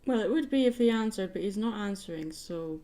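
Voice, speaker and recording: A woman's voice: medium pitch, expressive, a little fast, mellow. A woman hosting an art livestream, talking casually and informally as she works. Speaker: livestream host, commission artist. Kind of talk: casual livestream chat while drawing. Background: music.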